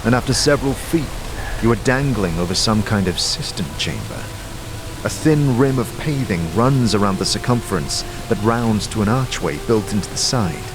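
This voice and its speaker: A man's voice: on the low side, animated, moderate pace, music in the background. A man narrating a fantasy audio drama in the second person, as a game master does.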